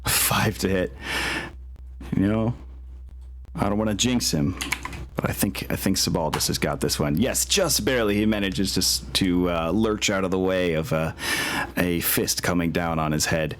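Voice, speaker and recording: A man's voice: low voice, normal speed, a little hiss or hum. A man narrating a tabletop game as game master, informal and profane, often thinking aloud.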